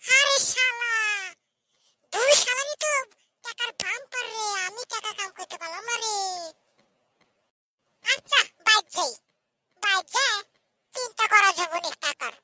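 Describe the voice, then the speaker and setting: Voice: very high voice, measured pace, long pauses, pushed and bright, full character voice. A performer voicing a cheeky young village lad in a comic cartoon, with a childlike voice, teasing and chatty. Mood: exclaiming, envious. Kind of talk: comic Bangla cartoon dialogue. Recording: noisy recording with clicks.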